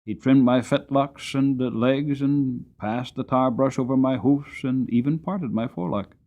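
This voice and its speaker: A man narrating an audiobook story in the first person, with a dramatic, gentle storytelling manner. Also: mid-range voice, unhurried, lo-fi sound.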